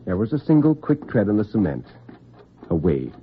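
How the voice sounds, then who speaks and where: A man narrating an old-time radio suspense story with deliberate, literary intensity and a husky voice. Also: on the low side, very animated, slight background noise. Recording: tinny, band-limited vintage radio recording.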